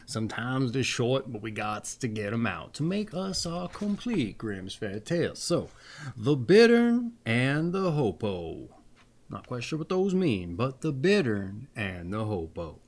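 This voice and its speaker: A man reading fairy tales aloud as a host, expressive and theatrical, with playful folksy asides. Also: medium pitch, unhurried. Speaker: storyteller and channel host.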